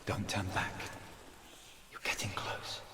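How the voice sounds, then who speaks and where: A man playing a calm, gentle guide who soothes with measured, reassuring, hushed delivery in a video game drama. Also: whispered, lightly acted. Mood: steady, encouraging.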